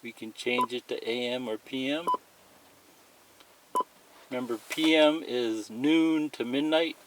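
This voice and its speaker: Clear background noise sits under a man's voice in an amateur recording. He talks slowly at a middling pitch, walking through a product in a casual, plain, step-by-step way. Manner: plain-spoken, step-by-step explaining. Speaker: tutorial presenter. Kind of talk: casual hands-on product demonstration. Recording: clearly noisy amateur recording, clicks and beeps.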